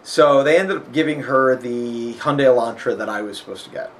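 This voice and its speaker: A man's voice: medium pitch, fairly quick. A man with a sniffly nose, chatting casually and rambling about a road trip, full of fillers. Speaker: vlogger. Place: hotel.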